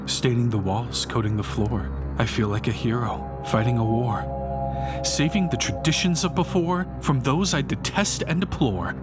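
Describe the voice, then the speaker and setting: A man's voice: medium pitch, close to an everyday voice. A man performing a bitter, self-righteous failed painter turned fanatical vandal in an intense, rhyming dramatic monologue. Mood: grim, disgusted. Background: music behind the voice.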